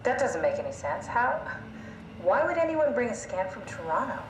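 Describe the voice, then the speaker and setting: An inquisitive female scientist in a sci-fi horror game, questioning and incredulous. Her voice is high and swings expressively, heard in an echoing space with noise behind it. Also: very animated, normal speed. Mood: puzzled, incredulous. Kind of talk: video game voice acting. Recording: roomy echo, clear background noise.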